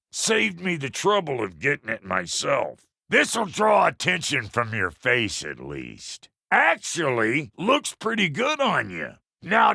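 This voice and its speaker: A cranky dwarf blacksmith from a video game, crude and folksy, with an American accent. His gravelly voice is forceful and swoops wildly up and down as he gripes and blusters. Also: a little high, no room sound, studio quality, heavily acted. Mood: cranky, brisk, then teasing. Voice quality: gravelly, rough. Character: gruff, foul-mouthed, cranky dwarf blacksmith. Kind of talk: video game character voice acting.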